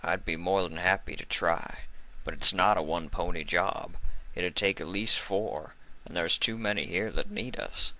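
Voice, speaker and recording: Man acting old male pony sheriff, very low, quiet, seasoned, folksy, stern yet tender, storybook character. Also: fairly even intonation, normal speed, exaggerated voice, low-quality recording. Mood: tender pleading. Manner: folksy, controlled, comforting. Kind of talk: storybook character voice in fan fiction reading.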